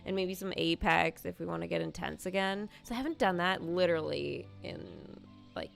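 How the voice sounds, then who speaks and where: A woman hosting a live game stream in casual, excitable chat, thinking aloud as she goes. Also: fairly low pitch, normal speed, creaky, soft background music. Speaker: livestream host, gamer.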